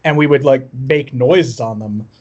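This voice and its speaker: A man's voice: medium pitch, unhurried, darkish tone, lo-fi sound. A man chatting casually as a musician guest on a podcast, telling personal stories in a wry, self-deprecating way. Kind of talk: casual chat, personal storytelling.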